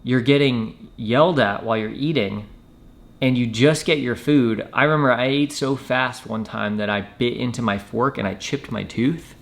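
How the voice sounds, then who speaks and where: A man telling a personal story in a casual, matter-of-fact way with hesitant pauses. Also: mid-range voice, gravelly. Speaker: storyteller, former Marine recruit.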